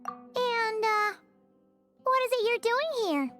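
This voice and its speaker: A woman voicing a small, childlike fairy companion in a video game, squeaky and chatty. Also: animated, slow pace, over music, heavily acted. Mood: surprised, teasing. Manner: refers to self in third person, chatty.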